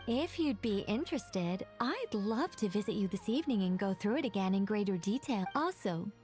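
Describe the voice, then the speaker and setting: A woman's voice: medium pitch, darkish tone, lightly acted. A woman voicing an eager young scholarly mystic in an anime-style video game, wordy and flustered, trailing off and correcting herself.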